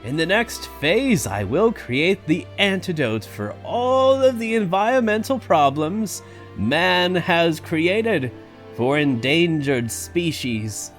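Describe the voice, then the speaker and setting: Man playing a high-voiced, grandiose old park founder. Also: sing-song, takes it slowly, exaggerated voice. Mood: visionary, grand. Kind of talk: audio drama acting. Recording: music under the voice. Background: music.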